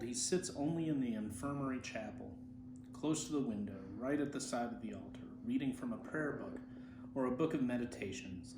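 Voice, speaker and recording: Man reading aloud in an echoey room. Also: mid-range voice. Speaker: amateur story reader. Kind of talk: reading a literary story aloud. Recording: roomy echo, faint background noise.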